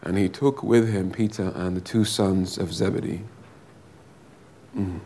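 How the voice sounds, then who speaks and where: A man with a big booming voice preaching a sermon and praying fervently, drawing out his phrases and repeating them for emphasis. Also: steady tone, mellow, slight background noise. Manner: slow, drawn-out, fervent delivery with repeated phrases. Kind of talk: sermon with prayer.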